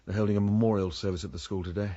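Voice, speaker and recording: An actor playing a genteel, bemused neighbour in a radio drama, urbane and a little fussy, with an upper-class English accent. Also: male voice, on the low side, steady tone, rapid-fire, dark tone, dull audio, natural voice. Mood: concerned, dry. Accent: English Received Pronunciation.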